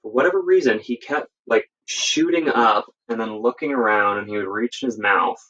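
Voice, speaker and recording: A man casually telling work stories as a guest, in a halting, informal way and often correcting himself. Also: fairly low pitch, fairly bright tone, dull audio. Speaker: guest, emergency medical worker sharing stories. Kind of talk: casual storytelling.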